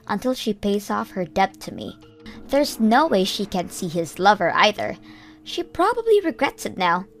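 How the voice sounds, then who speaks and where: A young woman narrating a manga dub in first person, measured and earnest. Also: mid-range voice. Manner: measured storytelling, plain and earnest.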